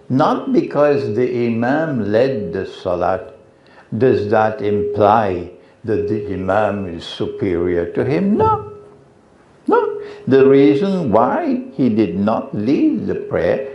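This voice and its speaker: A man's voice: mid-range voice, huge pitch swings, takes it slowly. A man with a Trinidadian accent answering audience questions after a lecture, firm and corrective. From Trinidad and Tobago. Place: lecture hall. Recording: echoey hall, slightly dull.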